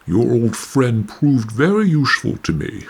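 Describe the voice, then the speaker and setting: A man's voice: mid-range voice, big pitch swings, measured pace, vocal fry, breathy edge, full character voice. A man playing a cold, smug, menacing husband in a noir radio play, condescending and polite. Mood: smug menace.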